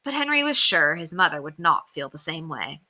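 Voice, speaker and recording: A woman reading a children's book aloud as narrator, expressive and emphatic. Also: low voice, moderate pace. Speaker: storybook reader.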